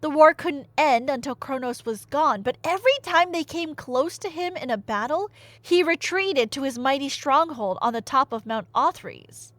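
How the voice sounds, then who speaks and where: A young woman retelling a tale as a chatty, theatrical storyteller, with emphatic delivery, dry humor and dramatic pauses. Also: high-pitched, expressive, fairly bright tone, dry recording. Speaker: audio storyteller playing a nerdy friend. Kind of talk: casual dramatic retelling of myth, as ASMR roleplay.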